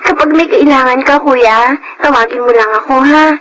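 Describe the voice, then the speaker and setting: A woman's voice: high voice, moderate pace, lightly acted. A woman playing a timid, eager-to-please adopted girl in a Filipino radio drama, polite and hesitant. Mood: shy, helpful. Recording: clear background noise under voice.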